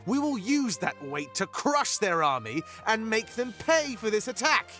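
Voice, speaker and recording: An actor playing a fervent rebel leader in a fantasy video game, giving grandiose, oratorical speeches. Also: male voice, sits high, big pitch swings, unhurried, pushed and bright, heavily acted. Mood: fiery, vengeful. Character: fervent male rebel leader, an anthropomorphic animal rallying his fighters. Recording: music behind the voice. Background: music.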